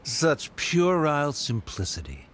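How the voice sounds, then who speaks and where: Disdainful academic father, middle-aged, pompous, formal, varied pitch, game character. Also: huge pitch swings, measured pace, fairly bright tone, slight background noise, exaggerated voice. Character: proud, cold scholarly father, disdainful. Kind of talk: video game voice acting.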